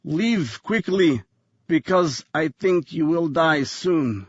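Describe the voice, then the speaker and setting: An audiobook narrator voices a wounded foreign hitman with a heavy accent. He speaks slowly in labored, halting phrases, cryptic and menacing, in a dry but slightly dull recording. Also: a little high, lively intonation, slightly rough, no echo, full character voice. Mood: labored, ominous.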